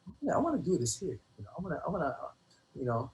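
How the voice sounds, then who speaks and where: A middle-aged man reminiscing casually as an interview guest, in a halting, slangy way that often doubles back on words. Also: a little high, very animated, creaky, a little mellow, slight background noise. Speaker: guest, rapper.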